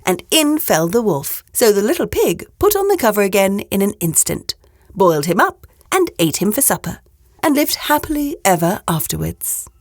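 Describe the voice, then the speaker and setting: A woman narrating a children's storybook aloud in an expressive storyteller's style. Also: mid-range voice, normal speed, no echo, studio quality.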